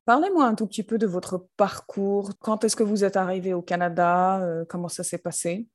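A woman's voice: mid-range voice, normal speed. A woman interviewer posing short, friendly questions.

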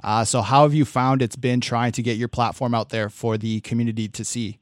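A man's voice: medium pitch, little pitch movement, a little fast, no room sound, studio quality. A man hosting a radio interview, asking questions with hesitant uh fillers and repeated words.

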